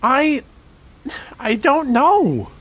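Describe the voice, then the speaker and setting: Slow, hesitant young man played by an actor. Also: very high voice, sing-song, a bit bright, slightly rough, full character voice. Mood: flustered. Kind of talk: audio drama acting.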